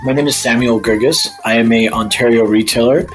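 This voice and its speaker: A man's voice: medium pitch, fairly even intonation, fairly quick. Casual male interviewee, faint music behind. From Egypt, by birth. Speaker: interview guest, retail store owner.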